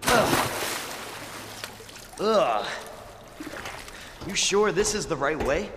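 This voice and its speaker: A man's voice: high voice, lively intonation, very slow, long reverb tail, very noisy, full character voice. An actor playing a tough young male cop fighting a monster, grunting and cursing with effort.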